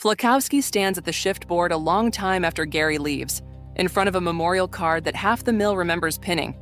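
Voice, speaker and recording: A woman giving solemn, measured, literary narration for a scripted audio drama. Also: lowish voice, a bit bright, faint music behind.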